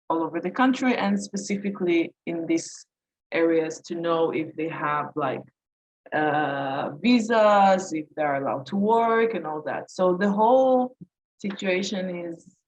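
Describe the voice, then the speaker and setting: Slow, low-voiced woman answering questions. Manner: hesitant, many fillers and restarts. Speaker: panel speaker. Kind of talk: answering audience questions in a webinar. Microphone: video call. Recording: dull lo-fi video call audio.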